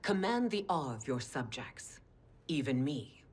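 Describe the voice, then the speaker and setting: A woman voicing a proud, scheming queen in a video game cutscene, speaking in a low, breathy whisper. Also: very animated, unhurried, a little mellow, slight background noise, heavily acted. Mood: regal.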